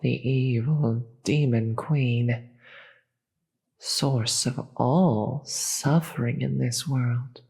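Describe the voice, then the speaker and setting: A performer voicing a weary, regal demon queen with a husky voice and formal, theatrical manner in a scripted audio roleplay. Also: mid-range voice, fairly even intonation, mellow, full character voice. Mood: weary, bitter. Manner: theatrical, formal, slow deliberate delivery.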